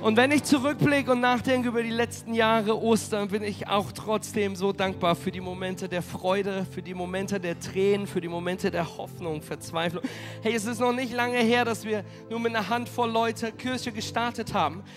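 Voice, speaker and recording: A man preaching as pastor, energetic and rallying his congregation, with a cold that makes him hoarse. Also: sits high, normal speed, over music. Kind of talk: sermon. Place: church hall.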